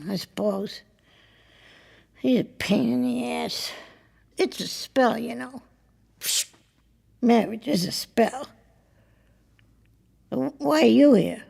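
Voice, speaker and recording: Creaky, slow-talking wry wife. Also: female voice, fairly high pitch, dark tone, full character voice. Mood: dry, weary. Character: wry, chatty married woman, wistful and unfussy. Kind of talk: naturalistic audio drama acting.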